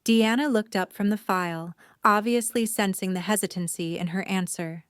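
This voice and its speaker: A woman's voice: mid-range voice, moderate pace, clear, resonant tone, no echo, studio quality. A woman reading scripted story narration for an audio drama.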